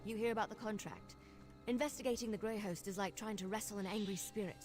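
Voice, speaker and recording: A woman's voice: medium pitch, fast talker, a little mellow, soft background music, natural voice. Woman playing a wry, confident vampire investigator. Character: brisk, wry young female vampire investigator in fantasy game. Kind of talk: video game character dialogue.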